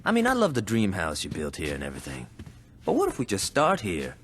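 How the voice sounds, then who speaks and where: A man's voice: sits low, wildly swooping pitch, brisk, breathy edge, heavily acted. A man acting a cocky, trigger-happy young gangster in a video game cutscene, brash and slangy, over a noisy capture. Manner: brash, street slang, swearing.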